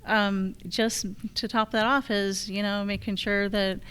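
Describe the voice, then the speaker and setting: A woman's voice: mid-range voice, little pitch movement, unhurried, a bit bright, clear, resonant tone. An American woman speaking as a political candidate at a public forum, addressing voters directly. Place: live candidate forum on a college campus.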